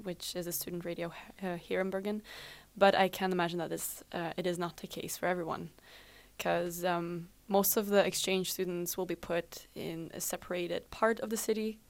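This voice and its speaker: Casting: young woman, Flemish native speaker, halting casual English, studio guest. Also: lowish voice. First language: Dutch (Flemish). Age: young adult. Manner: hesitant, many ums, plain non-native English. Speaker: exchange student guest. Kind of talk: casual radio chat. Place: student radio studio.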